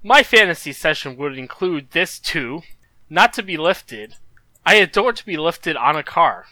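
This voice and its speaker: Bright, close-miked playful co-host. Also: medium pitch, big pitch swings, a little slow, dry recording. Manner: playful, stumbling reading. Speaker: co-host reading posts. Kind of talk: casual comedic chat.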